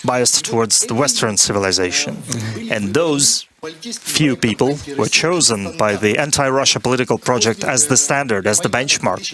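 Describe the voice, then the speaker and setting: A man interpreting a guest's answers in a measured way, with small restarts. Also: mid-range voice, a crowd in the background. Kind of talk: consecutive interpretation.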